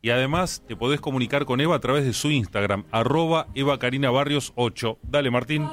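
A man's voice: medium pitch, fairly quick, a bit bright. Chatty Argentine man hosting radio. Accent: Argentine, Rioplatense. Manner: chatty, effusive. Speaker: radio host. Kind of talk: casual radio presenting.

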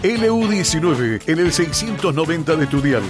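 A man voicing a radio station promo, partly sung, in a smooth promotional delivery. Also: on the high side, expressive, normal speed, over loud music.

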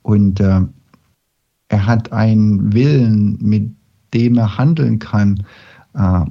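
A man opening a spiritual lecture, speaking haltingly with many fillers and self-corrections. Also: fairly low pitch, fairly even intonation, vocal fry. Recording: dull lo-fi recording.

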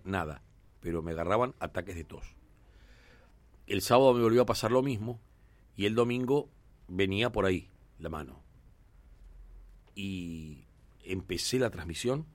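A man with an Argentine Rioplatense accent hosting sports radio talk in a studio, chatting conversationally and filling pauses with "eh" and "este". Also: sits low. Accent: Argentine Rioplatense Spanish.

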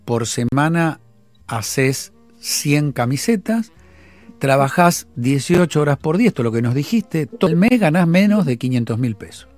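A man's voice: fairly high pitch, lively intonation, normal speed, a little mellow, no room sound, faint music behind. A man with a Rioplatense accent hosting a phone-in, probing the caller with insistent questions and recapping her figures. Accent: Rioplatense Spanish. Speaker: radio host.

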